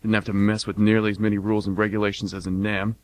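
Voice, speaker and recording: An actor playing a blunt, cocky American mercenary war veteran, talking in a folksy, plain-spoken way in a radio play monologue. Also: male voice, lowish voice, flat delivery, fast, natural voice. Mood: matter-of-fact, boastful.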